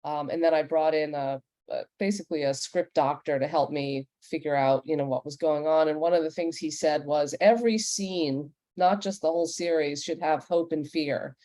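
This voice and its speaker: Female panel moderator; low register, soft-edged mellow tone, close dry sound. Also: steady tone, normal speed, no echo. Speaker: host and moderator.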